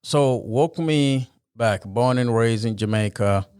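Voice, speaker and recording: A man's voice: medium pitch, slow, a little mellow, clear, resonant tone, no echo, clean studio sound. A man hosting a podcast, asking questions in a conversational interview style. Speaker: podcast host.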